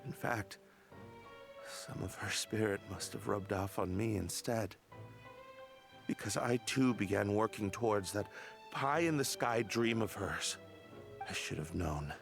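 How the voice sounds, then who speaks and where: An actor playing a stern, grieving guard captain bent on vengeance, speaking gravely and deliberately in a dramatic video game. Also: male voice, lowish voice, lively intonation. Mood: bitter, vengeful. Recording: background music under the voice.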